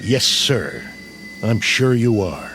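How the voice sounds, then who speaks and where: An actor playing a battle-hardened, skeptical army sergeant in a radio drama, plain-spoken, folksy and wry. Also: male voice, fairly low pitch, lively intonation, slow pace, vocal fry, background noise, heavily acted. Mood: weary, needling.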